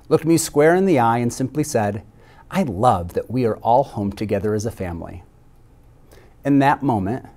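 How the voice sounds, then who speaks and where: A man's voice: medium pitch, darkish tone. A middle-aged man, a school principal, giving a prepared graduation speech in a reflective, heartfelt way.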